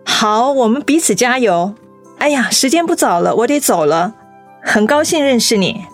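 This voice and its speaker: An actress with a Taiwanese accent playing a principled young volunteer in a radio drama, thoughtful, resolute and articulate. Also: female voice, on the high side, very animated, moderate pace, faint music behind. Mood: bright, friendly. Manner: earnest, articulate. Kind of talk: Mandarin radio drama acting.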